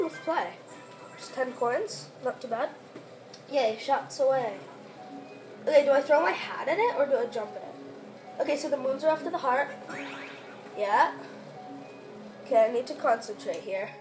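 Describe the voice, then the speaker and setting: Excitable high-voiced woman, worn voice. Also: normal speed, pauses often, soft background music, rough recording. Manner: excitable, exclaims often. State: sick, losing voice. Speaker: gameplay commentator. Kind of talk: let's play commentary.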